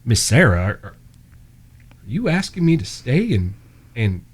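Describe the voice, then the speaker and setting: A man playing an earnest, gentle, lovestruck Old West fellow in an audio drama, hesitant and folksy in his talk. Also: mid-range voice, big pitch swings, measured pace, breathy edge. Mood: shy, tender.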